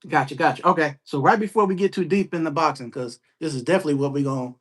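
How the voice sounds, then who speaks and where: Male podcast host, relaxed and slangy, a bit high in pitch. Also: fast talker. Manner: laid-back, slangy, frequent shout-outs. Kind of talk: casual interview chat.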